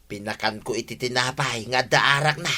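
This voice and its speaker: Actor as agitated villager, bright sharp voice, mid pitch, exclamatory, emphatic, radio comedy drama. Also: normal speed. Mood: uneasy.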